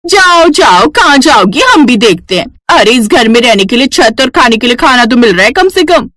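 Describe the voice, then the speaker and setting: A woman voicing a haughty, scornful young daughter-in-law in a cartoon story, shrill and taunting. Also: very animated, moderate pace, a bit bright, dry recording, studio quality.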